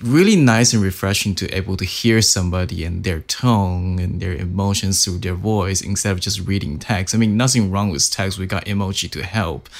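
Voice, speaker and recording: A man of forty with a Mandarin Chinese accent, hosting a casual interview and speaking slowly with many fillers. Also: deep voice. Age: 40s. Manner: slow, hesitant, many "like" fillers.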